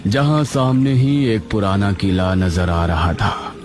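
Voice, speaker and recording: A male storyteller reads a dramatic scripted tale. His delivery is slow with a lively, wide-ranging pitch. Music plays clearly underneath. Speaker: story narrator.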